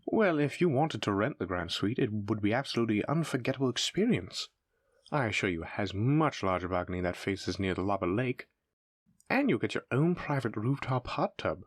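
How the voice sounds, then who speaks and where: A man's voice: fairly low pitch, very animated, brisk, no room sound, studio quality. A man playing a polite, eager demon front desk clerk in a scripted roleplay, with formal, effusive hospitality patter. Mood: courteous, persuasive. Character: courteous, eager demon hotel receptionist, smooth salesman. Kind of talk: scripted roleplay audio addressed to the listener.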